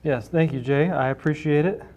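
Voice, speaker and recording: A man's voice: fairly high pitch, steady tone, normal speed, highs cut off. A man being interviewed casually as a library director, explaining things through everyday hypothetical examples.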